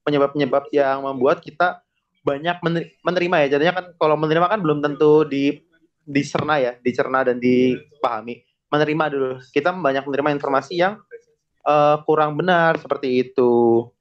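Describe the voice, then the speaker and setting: A man's voice: a little high, fairly even intonation, a little fast. A young man, a podcast guest, explaining in casual slang and chuckling often. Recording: dull lo-fi podcast recording.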